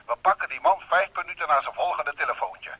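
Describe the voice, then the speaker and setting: An actor playing a sceptical, sarcastic police inspector in a radio play, dismissive in manner. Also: male voice, a little high, expressive, quick pace, bright, forceful tone, slightly rough, dry recording, exaggerated voice. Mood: scornful. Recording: dull lo-fi radio drama recording.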